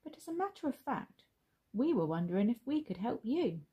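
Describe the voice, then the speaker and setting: Woman playing a nervous, courteous husband, husky. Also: fairly high pitch, sing-song, fairly quick, lo-fi sound, voice pushed up. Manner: nervous, hesitant, polite. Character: hesitant, fussy, courteous middle-class husband. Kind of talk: children's storybook read aloud.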